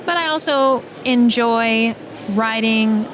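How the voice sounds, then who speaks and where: A woman's voice: fairly high pitch, takes it slowly. An American woman from New Mexico talking conversationally as an interview guest. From New Mexico, United States. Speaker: podcast guest, audio drama writer. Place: busy convention floor being torn down. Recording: loud event hall noise behind. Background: clatter and ambient noise of convention.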